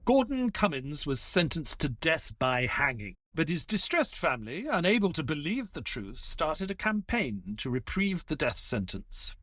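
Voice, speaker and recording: Earnest sidekick and storyteller played by a man, higher-pitched, very expressive, for a detective audio drama. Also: no room sound. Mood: grave. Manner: measured storytelling, hesitant and flustered in dialogue. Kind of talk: audio play acting with scripted first-person narration.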